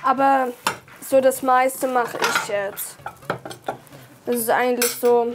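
A woman's voice: fairly high pitch, steady tone, takes it slowly. An eighteen-year-old woman, talking casually and a little hesitantly in a documentary interview. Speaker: documentary participant.

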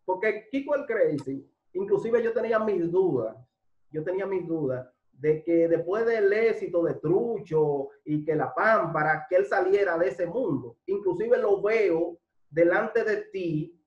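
A man's voice: high-pitched, animated, a little slow, a little mellow. A middle-aged man hosting an interview over a video call, asking questions with hesitant fillers. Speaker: interviewer host. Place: home room indoors. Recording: dull echoey video call audio.

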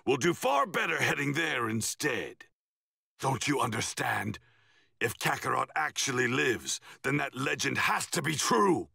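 A man playing an arrogant, cold alien villain prince in an anime game, with a raspy voice and a commanding manner. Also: medium pitch, big pitch swings, measured pace, sharp tone, no echo, studio quality, heavily acted. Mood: impatient, scheming. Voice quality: raspy, gravelly. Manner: haughty, commanding. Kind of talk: English anime game dub acting.

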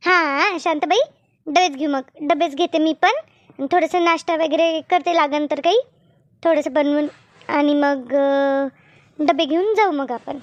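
A chatty village woman from an animated comedy, played by a woman. Her voice is extremely high, almost childlike. She speaks with a rural Maharashtrian Marathi accent in a folksy, repetitive way. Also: unhurried, muffled recording. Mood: brisk, friendly. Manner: colloquial, repetitive, rustic village talk. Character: chatty village women in a comic cartoon.

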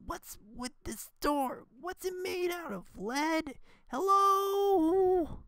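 A woman voicing a panicky, naive teenage martial arts student, whiny and excitable, in an amateur anime parody dub. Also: very high voice, very slow, no room sound, studio quality, exaggerated voice. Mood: alarmed.

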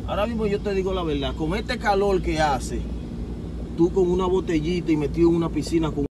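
A man's voice: fairly high pitch, a little fast. A man in his thirties with a Dominican accent, hosting a vlog from a car and joking with his passenger. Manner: teasing, joking. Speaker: vlogger host. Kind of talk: casual chat. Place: inside a car. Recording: loud road noise in a car.

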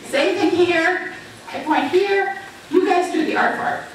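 A woman's voice: very high voice, takes it slowly. A middle-aged woman giving informal, encouraging instructions to an audience as a stage performer, speaking into a handheld microphone. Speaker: talent show performer leading audience participation. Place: large church hall, indoors. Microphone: handheld microphone through PA, recorded by far camera. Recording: echoey hall, distant, noisy audience. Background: audience chatter and noise.

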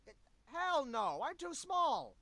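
A man's voice: extremely high pitch, wildly swooping pitch, slow pace, bright, forceful tone, no room sound, full character voice. A man voicing a small, whiny slacker animal in his twenties, slangy and complaining, for a cartoon adventure game. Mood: whiny, protesting. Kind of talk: voiced game dialogue.

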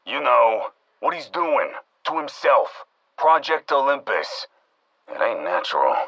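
A man's voice: medium pitch, sing-song, a little slow, gravelly, a bit bright, no room sound, highs cut off, full character voice. A man playing an uneasy, doubting American henchman in a video game, heard through a radio-like filter. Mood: uneasy, defensive.

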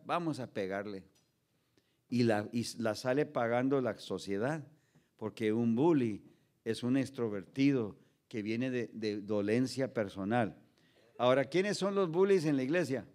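Un pastor de unos cincuenta años, nacido en Los Ángeles, con acento mexicano-estadounidense, predica con humor en un escenario, con micrófono de pie, y cuenta historias imitando diálogos y mezclando frases en inglés. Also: male voice, mid-range voice, darkish tone. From Los Angeles, United States. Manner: storytelling with acted dialogue, mixing English phrases. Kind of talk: humorous sermon to a children's ministry. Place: stage in a large indoor hall. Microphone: stand microphone.

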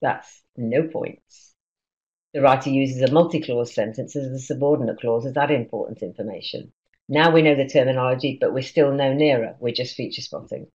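A woman's voice: rumbling low, darkish tone. A middle-aged British woman, a teacher trainer, explaining informally in an online lesson and posing questions as she goes, on a video-call microphone. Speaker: teacher trainer presenting a webinar. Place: small indoor room, on a video call. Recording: dull, lo-fi webcam audio.

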